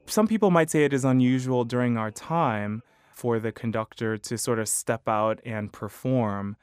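A man who is a choral conductor and high baritone singer, answering interview questions in a thoughtful, measured, articulate way. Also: clean tone, dry recording, studio quality.

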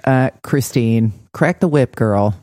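Female podcast host, dark mellow tone, sardonic and joking, casual recap chat and announcements. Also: extremely low pitch, animated, takes it slowly, no room sound.

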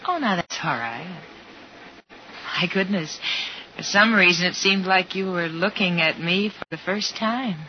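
A woman's voice: on the low side, measured pace, natural voice. An actress playing a chatty, prim, homespun young woman in a 1950s radio drama, gushing and easily flustered. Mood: indignant, prim. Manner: gushing, flustered, old-fashioned exclamations. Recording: muffled, noisy 1950s radio recording.

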